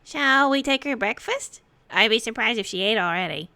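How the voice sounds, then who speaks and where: A woman's voice: a little high, lively intonation, moderate pace, sharp tone. A woman playing a polite, eager and cheerful young boy in a fantasy role-play. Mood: bright, curious.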